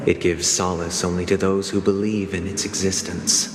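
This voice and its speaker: An actor playing a solemn narrator of a grand stage play in a game, grave and oratorical. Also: male voice, sits low, little pitch movement, normal speed, very noisy, close to an everyday voice. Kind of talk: theatrical scripted narration. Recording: echoing hall reverb, loud music behind.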